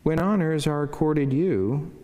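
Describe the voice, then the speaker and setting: A man, a priest, reading a scripted devotional in a measured, formal and reverent way. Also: a little high, warm tone. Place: wood-panelled church sanctuary, indoors. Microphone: lectern microphone. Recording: long church reverb.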